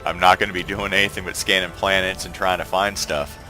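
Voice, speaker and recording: A man's voice: low voice, a little fast, faint music behind. A man streaming a space game, chatting casually and thinking aloud as he plays. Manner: relaxed, thinks aloud and trails off. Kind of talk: casual gameplay chat.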